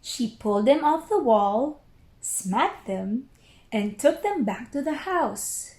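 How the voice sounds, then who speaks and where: A woman reads a children's story aloud with careful pauses. Her voice is fairly high and very expressive, taken at an unhurried pace in an echoey room. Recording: roomy echo.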